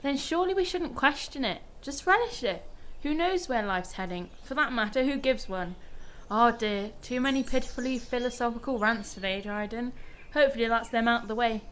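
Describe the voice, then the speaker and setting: A woman's voice: a little high, moderate pace, a bit bright, natural voice. An actress playing a quirky, carefree young woman in a radio drama, playful and whimsical. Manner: playful, philosophical. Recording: faint background noise.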